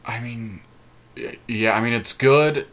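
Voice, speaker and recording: A man's voice: mid-range voice, measured pace, a bit bright. A man co-hosting a podcast, chatting casually while he builds a card deck and thinks aloud with lots of ums and restarts. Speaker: podcast co-host. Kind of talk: casual deckbuilding chat.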